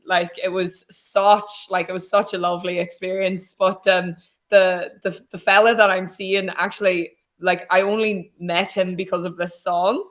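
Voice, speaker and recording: A young Irish woman from Donegal with a naturally deep voice, chatting as a singer-songwriter guest on a video call. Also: little pitch movement, moderate pace, fairly bright tone. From Donegal, Ireland. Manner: chatty, rambling, self-deprecating. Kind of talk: casual chat.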